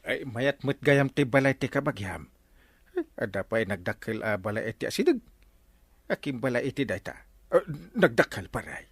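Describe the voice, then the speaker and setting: A man's voice: mid-range voice, big pitch swings, normal speed, breathy edge, dry recording. A man with a Filipino accent playing a pompous, stammering, easily rattled village captain in a radio comedy drama. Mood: curious. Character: blustering, self-important male village captain, easily rattled.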